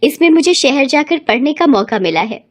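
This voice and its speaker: A woman's voice: high-pitched, sing-song, normal speed, voice pushed up. A woman voicing a determined, defiant young village daughter in a cartoon moral story, firm and earnest.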